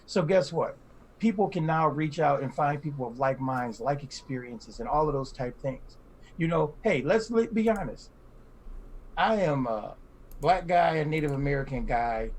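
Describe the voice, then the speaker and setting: A man's voice: mid-range voice, normal speed, darkish tone, poor audio. A man in his fifties or older talking emphatically in a casual discussion, repeating phrases for stress. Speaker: podcast panelist.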